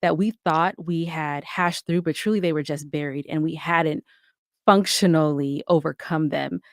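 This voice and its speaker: A woman's voice: low-pitched, fairly even intonation, normal speed, no echo. Articulate woman with a close, dry sound. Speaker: podcast guest, audio drama co-creator and writer. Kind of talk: conversational interview answers.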